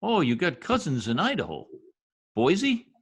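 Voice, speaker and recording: A man's voice: fairly high pitch, huge pitch swings, measured pace, background noise, exaggerated voice. A man playing a brash, folksy census taker in old-time radio comedy style, slangy, blustering and easily exasperated. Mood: breezy, self-important.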